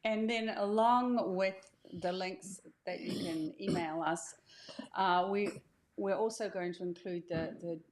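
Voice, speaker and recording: A woman's voice: medium pitch, steady tone, unhurried, slight background noise. An older woman speaking as an author and host, casually explaining things in an encouraging, inviting way. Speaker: author hosting a welcome video.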